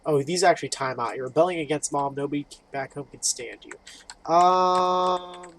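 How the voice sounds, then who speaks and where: A man's voice: on the high side, normal speed, a bit bright, slight background noise. A man hosting a gaming video, casually reading game text aloud and reacting with informal, muttered asides. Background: game music.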